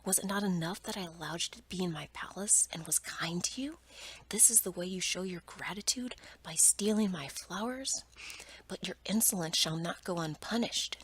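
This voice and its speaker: A woman voicing a stern, angry male beast from a storybook in a breathy, whispered, commanding and formal manner. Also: fairly low pitch, steady tone, a little fast, slight background noise, close to an everyday voice.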